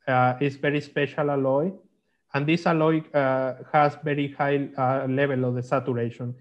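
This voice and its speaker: A man explaining technical slides in halting, on a video call. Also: medium pitch, level tone. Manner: halting, non-native English phrasing. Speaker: guest, magnetics consultant. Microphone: video call microphone. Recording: dull lo-fi online call.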